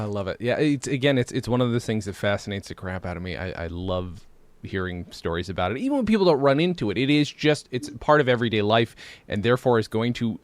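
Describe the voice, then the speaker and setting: A man's voice: mid-range voice, no echo. A man from upstate New York with an American accent, chatting enthusiastically and a bit ramblingly as a podcast host. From upstate New York, United States. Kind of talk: casual interview chat.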